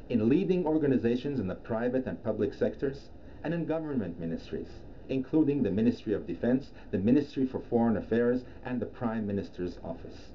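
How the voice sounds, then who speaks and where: A middle-aged man from Iran, a native Persian speaker, giving a formal, measured scripted presentation as a professional lecturer. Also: mid-range voice. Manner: formal, measured, deliberate phrasing. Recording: dull lo-fi sound, clear background noise.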